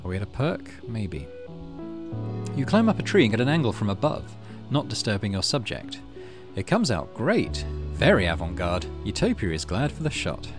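A man with a low voice hosts a casual video game playthrough. His pitch rises and falls freely as he thinks aloud and reads from the screen. Game music plays clearly behind him. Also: sing-song, moderate pace. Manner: thinks aloud, muttering through choices. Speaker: let's play host. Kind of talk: casual gameplay commentary, reading game text aloud.

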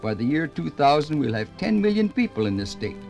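Lively, folksy old working man. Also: fairly high pitch, a little fast, creaky. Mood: hopeful, homespun. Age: older. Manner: chatty, folksy, addressing listeners directly. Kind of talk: 1950s radio drama narration. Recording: 1950s radio record with music behind.

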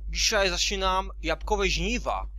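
A man's voice: high-pitched, lively intonation, measured pace, sharp tone, full character voice. A man voicing a determined female farmer pony in an amateur cartoon fan dub.

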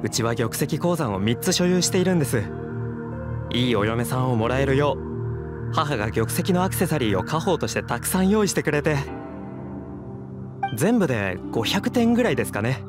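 An actor playing an excitable, very polite rich male innkeeper in an anime game. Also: medium pitch, normal speed, close to an everyday voice. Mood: polite, earnest. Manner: very polite, apologetic. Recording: background music under the voice.

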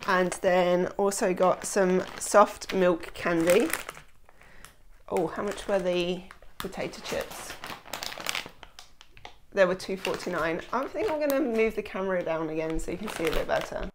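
A woman's voice: fairly low pitch, measured pace, noisy background. A woman, chatting casually to camera about her shopping, a little nasal from a starting cold, rambling with lots of "like" and "um". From England. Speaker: lifestyle vlogger. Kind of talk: casual haul chat to camera. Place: small indoor room at home.

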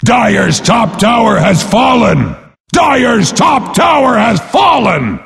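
An actor voicing a boastful, brash brute warrior as a video game announcer, bellowing pompously in a gravelly voice. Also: male voice, extremely high pitch, sing-song, takes it slowly, fairly bright tone, shouting, long reverb tail, exaggerated voice. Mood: booming, forceful. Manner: pompous, speaks of himself in third person.

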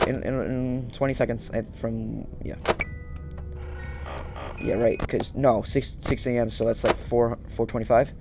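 A young man giving casual let's-play commentary, muttering to himself and repeating phrases. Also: mid-range voice. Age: young adult. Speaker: gaming YouTuber. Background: game music.

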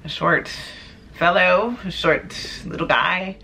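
A young woman with a deep voice chatting casually about her clothing haul in her bedroom, playful and laid-back. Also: takes it slowly, creaky, pushed and bright, slight background noise. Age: young adult. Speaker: vlogger. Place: small bedroom, indoors. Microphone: camera at desk distance.

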